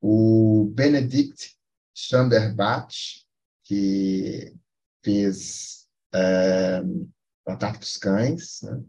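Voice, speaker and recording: Slow, unhurried man running a quiz. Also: on the low side, steady tone, dark tone, rough recording. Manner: playful, teasing, reads film credits haltingly. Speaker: quiz host on a film podcast. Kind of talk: casual podcast chat.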